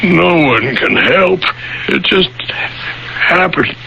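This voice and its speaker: A lost, bewildered man in a radio play, believed possessed. His high voice is creaky and halting, repeating itself as if dazed. The old broadcast is dull, with some noise. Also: lively intonation, exaggerated voice. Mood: dazed, flat. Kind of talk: old-fashioned radio drama acting. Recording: noisy, dull 1980 radio recording.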